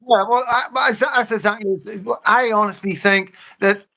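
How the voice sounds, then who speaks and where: A man's voice: high voice, normal speed, gravelly, sharp tone. An English man in his fifties chatting casually on a livestream, insistent and often repeating his phrases. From England. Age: 50s. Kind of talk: casual opinionated livestream chat.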